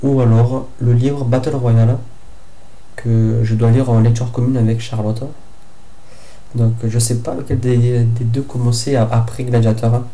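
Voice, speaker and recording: A young man from France talks through a casual book review, stuffy from a head cold. His voice is very dark and mellow and stays flat in pitch. He hesitates often, filling gaps and restarting his sentences. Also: a little slow, a little hiss or hum. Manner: hesitant, lots of fillers and restarts. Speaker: book vlogger.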